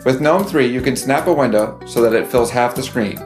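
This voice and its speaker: A man reads a scripted tutorial voice-over in an even, steady way. Guitar music plays clearly behind him. The sound is roomy, like a video played back in a hall. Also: mid-range voice, a little fast. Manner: even, read-aloud delivery.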